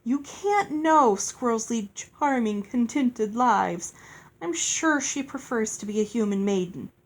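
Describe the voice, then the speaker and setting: A woman's voice: fairly high pitch, lively intonation, takes it slowly, heavily acted. A woman voicing a protective, wry female companion of a fairy in a storybook, firm and cautioning. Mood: wry, chiding.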